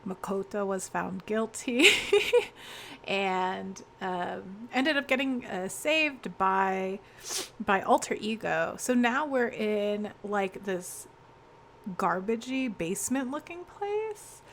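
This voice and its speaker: A woman's voice: medium pitch, expressive, takes it slowly, fairly bright tone, slight background noise. A young woman streaming a game, chatty and laughing often, greeting viewers by name, her voice congested with a sore throat. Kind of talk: casual game stream chat.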